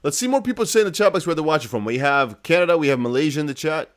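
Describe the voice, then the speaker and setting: A man's voice: fairly high pitch, expressive, racing. Dutch guy doing crypto livestream, accented english, chatty and emphatic, voice goes up and down a lot. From the Netherlands. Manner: chatty, emphatic, repeats points, addresses viewers directly. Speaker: crypto news streamer. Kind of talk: casual livestream commentary.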